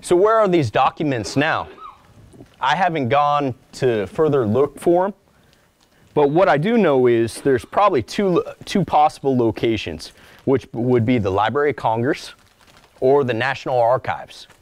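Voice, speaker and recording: A man in his forties gives a relaxed lunchtime lecture, his voice sitting at an everyday pitch. He talks in a chatty, self-deprecating way and slips into little acted-out asides. The sound is dry and close, with hardly any room echo. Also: normal speed. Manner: conversational, acts out little quoted asides; calls his own voice monotone. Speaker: archivist giving a lecture. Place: mid-size lecture room, indoors.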